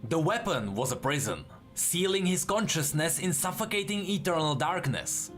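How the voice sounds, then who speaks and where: High-pitched man narrating dramatic lore. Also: animated, normal speed, fairly bright tone, faint music behind. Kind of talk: dramatic scripted storytelling with explanatory commentary.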